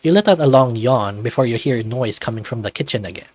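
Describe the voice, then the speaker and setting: A man's voice: medium pitch, lively intonation, fast talker, dry recording. A man reading a visual novel aloud as narrator and player, with occasional asides of his own.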